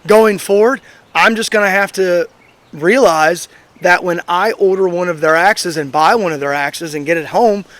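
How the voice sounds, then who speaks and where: A hoarse man in his thirties, host of an axe throwing channel, giving a rambling, opinionated review full of fillers. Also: high-pitched, normal speed, a bit bright, no room sound. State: hoarse, recovering from being sick. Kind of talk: casual outdoor review vlog. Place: outdoors at a throwing range.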